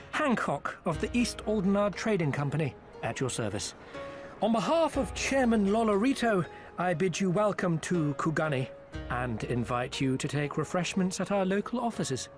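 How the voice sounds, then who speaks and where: Foppish trade envoy: theatrical, high-ish, animated delivery, music underneath. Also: male voice, normal speed. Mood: courteous. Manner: theatrical, flowery and ingratiating. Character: smooth-talking foppish male merchant agent. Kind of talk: video game cutscene. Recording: with background music.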